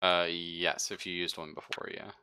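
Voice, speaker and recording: A man with a deep, bright voice acts as game master for a tabletop roleplaying game. His narration is casual and conversational, with joking and swearing mixed in. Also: level tone, moderate pace, clear, resonant tone.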